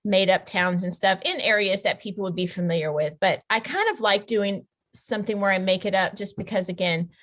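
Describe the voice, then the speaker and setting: A woman speaks as a writer being interviewed on a podcast. Her voice sits at an average pitch, and her delivery is informal and conversational. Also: brisk, few pauses, a bit bright. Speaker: podcast guest, novelist. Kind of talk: casual chat.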